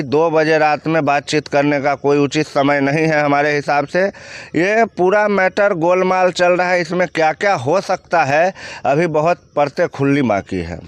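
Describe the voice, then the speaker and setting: A man's voice: on the high side, smooth, dry recording. A man with a Bihari accent giving blunt, outspoken opinions as a news commentator answering questions.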